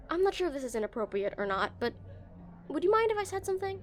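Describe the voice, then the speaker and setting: A woman's voice: high-pitched, very animated, brisk. A woman playing an awkward, smitten game developer on a dinner date in a romantic audio roleplay, nervous and stammering. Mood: shy, earnest. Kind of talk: scripted romantic roleplay, spoken to the listener.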